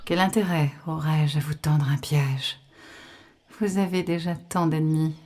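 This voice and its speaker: A woman playing a composed, enigmatic priestess in a science-fiction audio drama, speaking in a measured, solemn, hushed way. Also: low voice. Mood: cool, hushed.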